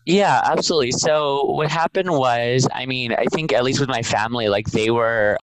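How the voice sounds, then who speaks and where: A man's voice: mid-range voice, normal speed, clean tone, dry recording, rough recording. A man speaking as an interview guest, explaining things casually and informally with lots of filler words. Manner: informal, filler-heavy with frequent "like".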